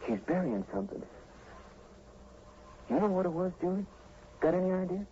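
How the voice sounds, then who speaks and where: An actor playing a hot-headed young rural suitor with a folksy drawl in a 1940s radio drama, protective and indignant. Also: male voice, high-pitched, big pitch swings, normal speed, dark tone. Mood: urgent. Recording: muffled, noisy 1940s radio recording.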